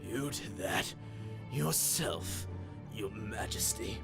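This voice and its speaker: A man voicing a defiant old inventor father with a weary croak in a mythic audio story. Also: on the low side, lively intonation, slow pace, over music, voice pushed down, full character voice. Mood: defiant, pained. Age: older. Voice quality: croaking, groaning.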